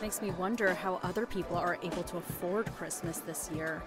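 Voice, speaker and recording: A woman's voice: on the low side, a little fast, music in the background, close to an everyday voice. A woman acting an anxious, hard-up widowed mother in an audio drama. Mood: worried, weary.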